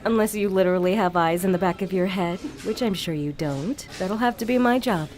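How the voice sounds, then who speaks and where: A woman acting as an easygoing, quick-witted and compassionate police detective in an audio crime drama. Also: medium pitch, darkish tone, natural voice. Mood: wry, easygoing.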